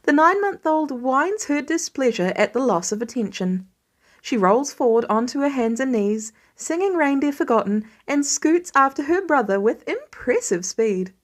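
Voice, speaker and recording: A woman's voice: high voice, big pitch swings, moderate pace. A woman reading a scripted piece of fan fiction as narration. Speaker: fan fiction podfic reader.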